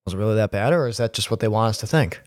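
A man's voice: on the low side, animated, rapid-fire, no echo, studio quality. A man in his twenties co-hosting a podcast, musing through ideas in a playful, half-conspiratorial way. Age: 20s. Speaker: podcast co-host. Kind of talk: casual chat.

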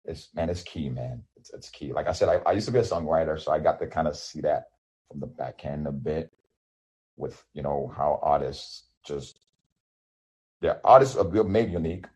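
Guy in his 30s, yoruba first language, super deep husky voice, rambles and restarts a lot. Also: a little mellow. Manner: rambling, many restarts and fillers. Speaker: independent musician guest. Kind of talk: casual interview.

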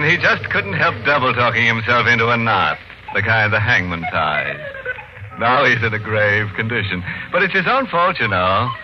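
An actor playing a gleefully morbid horror host on 1940s radio, mock-mournful and sardonic, delivering macabre puns theatrically. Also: male voice, medium pitch. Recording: muffled old 1940s radio recording, music behind.

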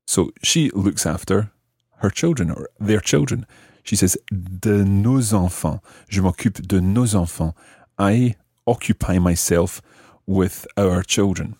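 Low-voiced man teaching, husky edge. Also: a little mellow, dry recording, studio quality. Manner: didactic, repeats phrases deliberately. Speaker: language lesson presenter. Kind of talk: explaining French grammar phrase by phrase.

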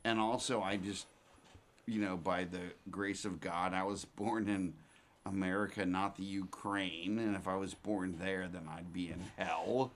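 A man in his forties with a lowish voice speaks slowly and unhurriedly. He rambles through his thoughts with restarts and pauses, in a relaxed podcast chat. A faint hum sits under him. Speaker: podcast host. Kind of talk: casual chat.

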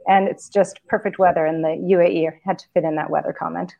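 A woman's voice: fairly low pitch, fairly even intonation, fast talker, creaky, dull audio. A woman making casual small talk as a guest on a live chat show.

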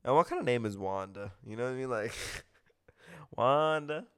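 A man's voice: mid-range voice, lively intonation, normal speed, fairly bright tone, clear, resonant tone. A man hosting a podcast in a casual, gossipy chat, talking with a stuffy nose and gushing, opinionated comments. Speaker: podcast host.

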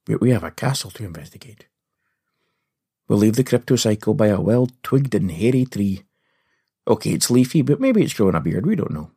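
A man telling an adventure story to children in a playful, animated style with comic understatement. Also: on the low side, slightly rough. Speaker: podcast host and storyteller.

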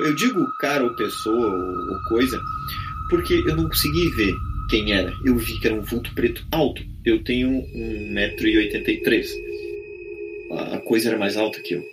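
Podcast guest, male, Brazilian accent, slangy casual storyteller, average pitch, music bed behind. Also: normal speed, poor audio. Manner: colloquial, full of slang interjections. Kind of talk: casual spoken storytelling of eerie personal experiences.

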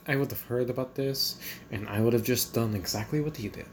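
A woman's voice: a bass voice, brisk. A woman voicing a stubborn, dismissive young woman as the country Croatia in a fan comedy podcast. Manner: flatly denying, evasive, offhand.

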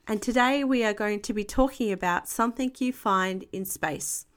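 A woman's voice: mid-range voice, moderate pace, a bit bright, lightly acted. A woman voicing a patient gazelle playgroup teacher in a storybook, instructive and encouraging.

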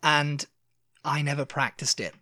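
This man's voice is bright and forceful, and he talks at a somewhat raised pitch. He chats casually and rambles, cutting himself off and starting again. The recording is close and dry. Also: fairly even intonation, a little slow, no echo. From Wales. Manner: chatty, rambling, self-interrupting. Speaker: podcast co-host. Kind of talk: casual chat. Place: living in Texas.

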